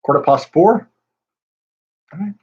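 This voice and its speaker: Man hosting a quiz stream, husky, chatty, greets viewers by name, dull lo-fi audio. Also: fairly high pitch, lively intonation, unhurried. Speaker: pub quiz host. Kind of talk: casual livestream chat.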